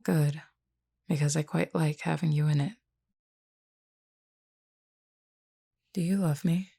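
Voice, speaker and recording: A woman playing a flirty, frank, self-assured werewolf in an intimate, unhurried audio roleplay spoken to the listener. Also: steady tone, full character voice. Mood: earnest, probing. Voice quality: low, creaky, mellow. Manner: unhurried, teasing yet earnest, deliberate.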